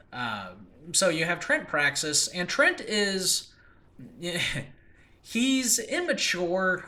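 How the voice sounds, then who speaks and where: A man's voice: mid-range voice, pushed and bright. A man hosting an informal solo talk about his own fictional world, rambling and often interrupting himself with asides. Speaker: podcast host and audio drama creator. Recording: makeshift home recording.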